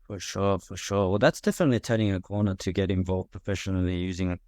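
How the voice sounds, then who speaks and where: Man, podcast host, low pitch, dry close sound, thoughtful, filler-heavy. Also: steady tone, a little mellow, dry recording. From Korea. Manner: thoughtful, many fillers and drawn-out pauses. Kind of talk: casual conversation. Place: Australia.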